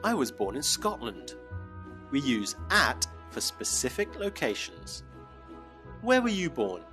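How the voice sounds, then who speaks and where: Very animated male English teacher. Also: medium pitch, over music. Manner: slow, clearly modeled phrases for repetition. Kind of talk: scripted lesson narration.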